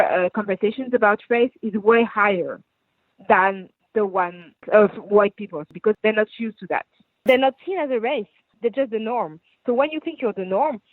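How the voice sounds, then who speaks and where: A woman's voice: on the high side, no echo. A woman from France with a French accent, giving earnest, explanatory answers in a radio interview, often rephrasing as she goes. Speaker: guest writer and activist. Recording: tinny phone line.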